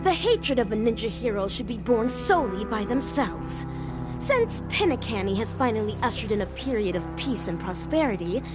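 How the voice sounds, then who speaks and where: A woman's voice: fairly high pitch, animated, normal speed, close to an everyday voice. A woman playing a solemn, earnest young ninja heroine in a video game, speaking in formal, grandiose declarations over music. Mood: solemn resolve. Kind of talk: dramatic video game voice acting. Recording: music behind the voice.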